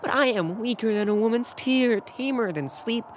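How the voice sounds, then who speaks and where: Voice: mid-range voice, moderate pace, a little hiss or hum, natural voice. A young performer playing a lovesick, despairing Trojan prince in a Shakespearean audio drama, impassioned and given to sighing declamation. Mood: bitter, self-pitying. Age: young adult.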